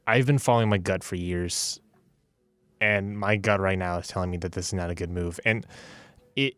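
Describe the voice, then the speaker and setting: A man playing a conflicted adventurer who trusts his gut, speaking haltingly with stammers in a tabletop game. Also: lowish voice, clean tone, no room sound, natural voice. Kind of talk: improvised tabletop roleplay.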